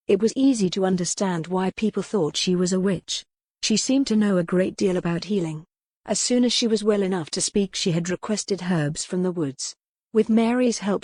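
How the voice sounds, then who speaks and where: A woman's voice: on the low side, darkish tone, no room sound, clean studio sound. A woman reading a scripted historical story as an audiobook narrator.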